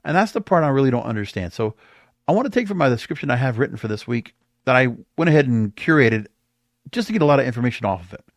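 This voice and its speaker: A man's voice: mid-range voice, dry recording, clean studio sound. A man hosting a solo podcast, giving opinionated commentary in an emphatic, rambling way and often repeating himself. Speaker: podcast host.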